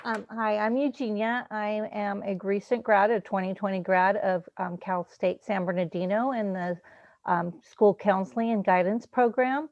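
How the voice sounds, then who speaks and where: A woman's voice: mid-range voice, steady tone, normal speed, no room sound. A recent counseling graduate, a woman, introducing herself with slight hesitation. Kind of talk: self-introduction. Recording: dry, dull audio.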